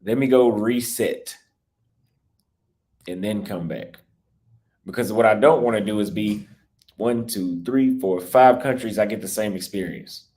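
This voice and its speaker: A young American man with a slightly low voice, talking off the cuff on a livestream about his travels. He rambles, restarts his sentences and gets defensive, and his voice sounds a little cracked and worn. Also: measured pace, long pauses, mellow. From United States. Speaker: travel YouTuber live-streaming. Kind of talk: casual livestream talk reading super chats.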